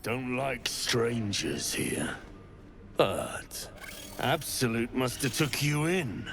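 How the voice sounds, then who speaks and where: A man's voice: mid-range voice, sing-song, extremely slow, gravelly, over music, full character voice. A man playing a boastful, menacing dwarf spider keeper in a video game. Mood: menacing, gloating.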